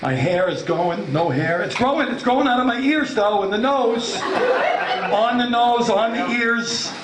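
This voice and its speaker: A man in his late fifties with a New York accent works a comedy club stage, brash and swearing, mocking himself. His voice sits high, and the room rings back around him. A loud wash of noise runs underneath. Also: expressive, a little slow, reverberant. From Long Island, New York. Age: 50s. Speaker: stand-up comedian. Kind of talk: stand-up comedy set. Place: comedy club stage before a laughing audience.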